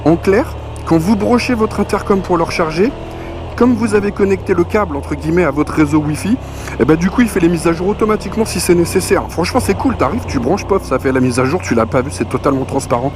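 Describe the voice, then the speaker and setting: A man's voice: on the high side, quick pace, noisy background. A man giving a casual, colloquial product review, chatty and slangy as he presents. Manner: colloquial, conversational, punctuated with slang and spoken sound effects. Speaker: motorcycle gear reviewer, presenter. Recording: music playing behind the voice. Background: clear background music.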